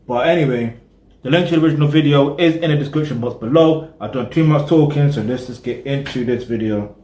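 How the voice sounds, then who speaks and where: A man's voice: medium pitch, fairly quick, warm tone. Chatty British man in an echoey room. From Britain. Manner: chatty and rambling, full of "you know what I mean". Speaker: music reaction vlogger. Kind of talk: casual reaction commentary.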